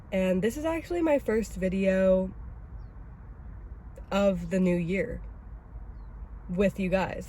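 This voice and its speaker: A woman's voice: medium pitch, mellow, rough recording. Stuffy-voiced woman rambling in a car. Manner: rambling, self-critical, chatty. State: has a cold, raspy and stuffy. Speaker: vlogger host. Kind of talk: casual oversharing vlog talk. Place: inside a parked car.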